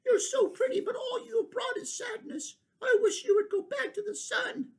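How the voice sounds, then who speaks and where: An adult reader voicing a small, sad baby creature in a storybook, speaking softly and tearfully. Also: very high voice, moderate pace, creaky, pitched above the performer's own voice. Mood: sad, pleading. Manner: soft, tearful, pleading.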